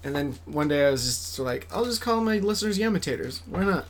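A man's voice: on the high side, lively intonation, a little fast. A man chatting casually and playfully as the host of a podcast. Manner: playful, jokey. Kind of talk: casual chat.

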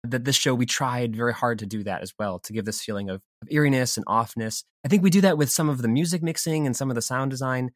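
A man's voice: mid-range voice, quick pace, hardly any pauses, no room sound, studio quality. A man talking casually and explaining things as an interview guest who makes audio drama.

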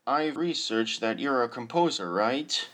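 A man's voice: mid-range voice, lively intonation, a little slow, fairly bright tone. A man hosting a podcast, introducing his guest and politely reading out prepared interview questions.

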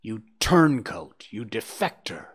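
Man acting as a dying storybook fox, male, slow delivery, imploring, bitter, swooping pitch. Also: animated, pushed and bright, exaggerated voice. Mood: bitter contempt. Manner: imploring, lamenting, later snarling and hissing. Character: wounded, dying male fox, pleading then bitterly contemptuous.

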